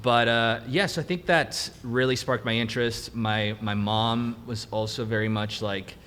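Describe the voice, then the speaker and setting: A young man from Mexico, a native Spanish speaker, telling his career story as a guest in a casual interview, animated and laughing easily. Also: medium pitch, moderate pace, slight background noise. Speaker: guest cinematographer.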